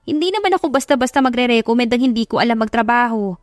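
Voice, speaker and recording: A woman voicing a friendly, brisk older businesswoman in an audio drama, chatty and practical. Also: on the high side, lively intonation, no echo, clean studio sound, soft background music, natural voice. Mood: friendly, businesslike. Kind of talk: Tagalog audio drama acting.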